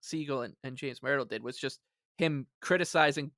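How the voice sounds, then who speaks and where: Man, podcast co-host, forceful tone, close dry sound, casual sports talk, emphatic sarcastic ranting. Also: medium pitch, moderate pace, dry recording.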